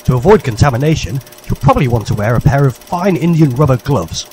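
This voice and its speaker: A man's voice: medium pitch, lively intonation, fast, darkish tone, a little hiss or hum. A man narrating a parody vintage public information film in a formal, jolly, old-fashioned instructor's manner.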